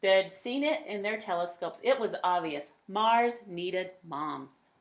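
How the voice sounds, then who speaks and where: A woman reading a children's picture book aloud, with dramatic emphasis and drawn-out words. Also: fairly low pitch, expressive. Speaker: read-aloud storyteller.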